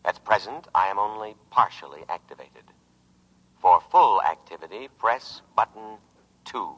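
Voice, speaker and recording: An actor voicing a solemn robot lifeboat in a radio drama, reciting formally and in measured phrases. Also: male voice, lowish voice, lively intonation, a bit bright. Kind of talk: scripted radio drama acting. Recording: muffled old radio drama recording.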